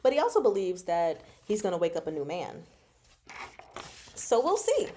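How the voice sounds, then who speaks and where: A woman's voice: lowish voice, wildly swooping pitch, moderate pace, mellow, a little hiss or hum. A woman hosting a livestream book discussion, talking in a casual, slangy, emphatic and teasing way, reacting expressively as she goes. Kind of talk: casual chatty book commentary with live chat.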